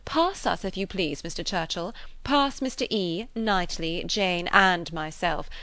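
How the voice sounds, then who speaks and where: A woman's voice: lowish voice, animated, a little slow, pushed and bright, no room sound, heavily acted. A woman reading a classic novel, voicing a pompous, self-satisfied married lady with an emphatic manner. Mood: affronted, haughty. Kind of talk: classic novel character dialogue.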